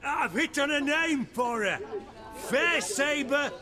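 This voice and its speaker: A pompous reveller in a fantasy game, extremely high-voiced. Words are drawn out very slowly and grandly over a noisy crowd. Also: male voice, lively intonation, full character voice. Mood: mock-solemn, exultant. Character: high-flown reveller ceremoniously naming his sabre. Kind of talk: comic video game acting.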